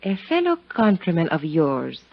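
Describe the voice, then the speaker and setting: An actress playing a worldly, poised, impoverished young countess with a languid, guarded manner in a 1950s radio drama. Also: female voice, sits low, huge pitch swings, moderate pace, vocal fry, exaggerated voice. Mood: composed. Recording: muffled 1950s radio recording.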